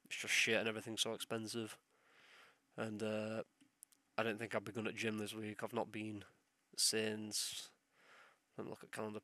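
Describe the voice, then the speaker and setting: A man's voice: fairly low pitch, sharp tone, no room sound. A young man hosting a solo podcast while ill, with a sore throat and sniffles, rambling and swearing as he loses his train of thought. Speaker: podcast host.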